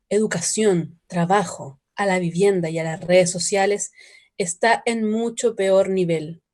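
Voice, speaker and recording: An earnest news reporter in a radio play acted by a woman. Her voice is soft-edged and mellow, sitting in the middle of the female range. She delivers a scripted report in a serious, orderly way. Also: mid-range voice, natural voice.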